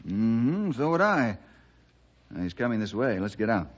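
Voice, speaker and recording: An actor playing a friendly, steady forest ranger hero with an American accent and a measured, courteous manner in a 1960s radio drama. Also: male voice, medium pitch. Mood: calm, friendly. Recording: dull, noisy old radio recording.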